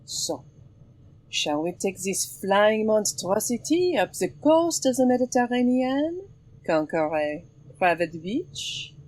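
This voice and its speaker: Bold, animated swashbuckling heroine. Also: female voice, medium pitch, takes it slowly, poor audio. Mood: playful, affectionate. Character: bold, teasing, sword-wielding French swashbuckling heroine. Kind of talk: audio drama acting.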